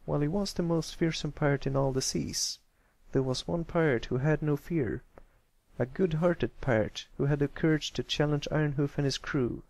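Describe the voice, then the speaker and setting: Man as a dramatic, creaky-voiced pony storyteller. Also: a little high, moderate pace, darkish tone, no room sound. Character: pony storyteller spinning a grand pirate yarn.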